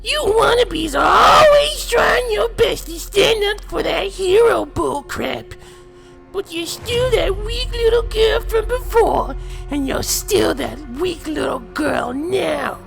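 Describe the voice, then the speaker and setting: Gravelly high-pitched cocky warrior villainess. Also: female voice, lively intonation, slow pace, over music, full character voice. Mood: taunting, boastful. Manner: taunting, brash, foul-mouthed. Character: cocky, taunting female Saiyan warrior villain. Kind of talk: anime fan drama acting.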